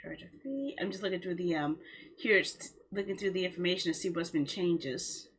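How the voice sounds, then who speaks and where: A woman chatting casually on a livestream in a rambling way, with a husky voice. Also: lowish voice, moderate pace. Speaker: live streamer.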